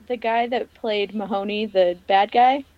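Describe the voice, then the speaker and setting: A woman's voice: medium pitch, fairly even intonation, unhurried, no room sound, lo-fi sound. A woman co-hosting a podcast, casually talking over a television episode. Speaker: podcast co-host.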